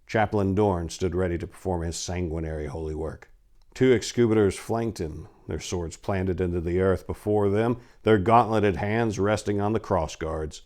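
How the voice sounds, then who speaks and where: A man with a notably low voice works as host and dramatic reader. His delivery is theatrical and emphatic, shaped for storytelling aloud. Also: a little mellow.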